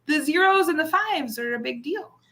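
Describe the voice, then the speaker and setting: Bright-voiced woman in her thirties, chatting. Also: sits high, animated, normal speed. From lives in North America near the Canadian border. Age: 30s. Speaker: co-host of a resellers' live chat show. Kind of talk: casual unscripted live group chat.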